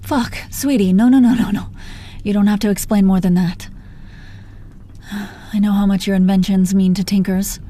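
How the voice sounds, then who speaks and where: A woman's voice: mid-range voice, steady tone, moderate pace, long pauses, dark tone, natural voice. A woman playing a teasing, protective female supervillain in her thirties in an intimate roleplay audio drama, foul-mouthed but coaxing, full of pet names. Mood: outraged, tender. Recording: noticeable background noise.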